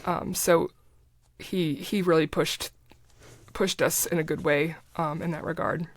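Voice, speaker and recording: Lower-voiced woman in casual interview conversation. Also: steady tone, a little slow, dry recording. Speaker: podcast guest, writer and producer.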